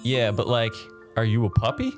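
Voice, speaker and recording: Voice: medium pitch, steady tone, normal speed, over music, natural voice. A performer voicing a brash, dim but big-hearted young male oni gang boss in a comedic puppet show, with slangy, boastful bro talk. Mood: eager, pleading.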